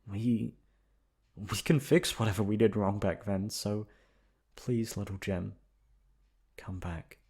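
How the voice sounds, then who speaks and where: A man's voice: on the low side, slow, long pauses, darkish tone, slightly rough, full character voice. Man playing a pleading, worried older brother. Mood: sad, pleading. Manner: earnest, imploring.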